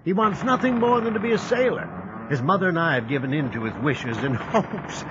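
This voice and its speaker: A man's voice: on the high side, big pitch swings, fairly quick, muffled recording, background noise. A man playing a strict, practical, exasperated father in a period audio drama. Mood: resigned. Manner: firm, exasperated.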